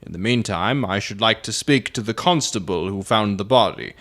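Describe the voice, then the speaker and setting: A man playing a clever amateur detective in a dramatic story reading, measured, amused and politely sarcastic. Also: lowish voice, lively intonation, a bit bright.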